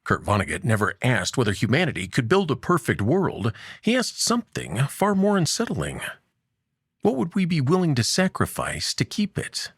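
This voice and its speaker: A man narrating a scripted audio story as its host, in a measured, dramatic way with deliberate pauses. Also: mid-range voice, breathy edge, no room sound, clean studio sound.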